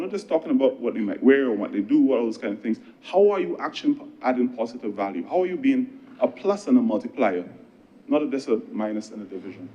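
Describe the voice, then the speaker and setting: A man with a Barbadian accent delivering a parliamentary speech as a government minister, conversational and earnest, with emphatic pauses. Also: mid-range voice, mellow, muffled recording. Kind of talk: political speech in parliament with anecdotes.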